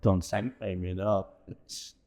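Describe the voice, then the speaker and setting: A man's voice: deep voice, little pitch movement, moderate pace, a little mellow. A middle-aged or older man, chatting colloquially and anecdotally as a veteran amateur performer. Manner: colloquial, anecdotal, frequent tag phrases. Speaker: veteran amateur pantomime performer, interview guest. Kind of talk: casual chat.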